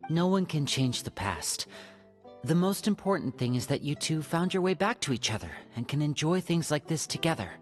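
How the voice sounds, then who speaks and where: An actress playing a level-headed, cautious woman adventurer who speaks in a steady, reasoning, alert way. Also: low voice, moderate pace, soft background music. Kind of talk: game voice acting.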